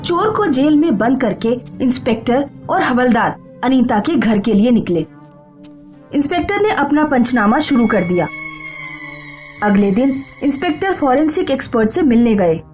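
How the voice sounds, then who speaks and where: A woman's voice: high voice, expressive, moderate pace, a little mellow. A woman narrating a scripted horror story in a dramatic style. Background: background music.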